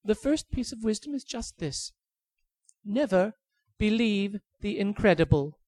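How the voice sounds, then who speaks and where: A small, clever golden bird from a folktale, pitched far above the teller's usual voice and sounding shrill and taunting, as a woman puts it on. The lines swoop widely and come slowly, in a close, dry sound. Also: animated, a little mellow, no echo. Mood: pleading.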